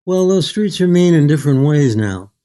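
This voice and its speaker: An older man speaking as a guest author in a reflective literary interview, measured, thoughtful and articulate. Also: fairly high pitch, lively intonation, warm tone.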